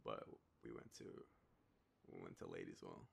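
A young Australian man playing an affectionate, nostalgic boyfriend in an intimate roleplay, talking to the listener in a halting, teasing way. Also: very deep voice, steady tone, creaky, a little hiss or hum, pitched below the performer's own voice, exaggerated voice. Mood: fond, amused. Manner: hesitant, soft chuckles, halting pauses. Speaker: audio roleplay performer.

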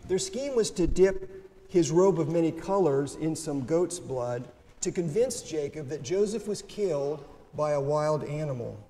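Measured man preaching in a church. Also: on the high side, mellow. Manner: measured, deliberate preaching read from notes. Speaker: priest. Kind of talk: sermon. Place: church sanctuary, at a pulpit. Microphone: pulpit microphone. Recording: reverberant church, faint room noise.

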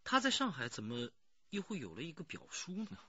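A sceptical police detective in a radio crime drama. He questions briskly in a husky, forceful voice with lively pitch. The audio is dry and slightly muffled. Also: no echo, full character voice. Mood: probing. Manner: brisk, pressing.

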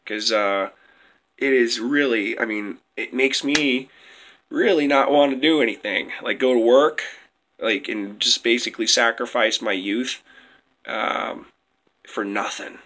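A man's voice: medium pitch, unhurried, fairly bright tone. A young man giving a rambling, heated monologue into a webcam in a small room at home. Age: young adult. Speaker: vlogger. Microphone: webcam close up.

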